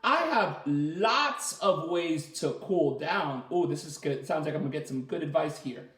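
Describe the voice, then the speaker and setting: A man leading a children's story time as a teacher, reading aloud and asking the listeners simple questions in an animated way. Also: on the high side, unhurried. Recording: roomy, echoey sound.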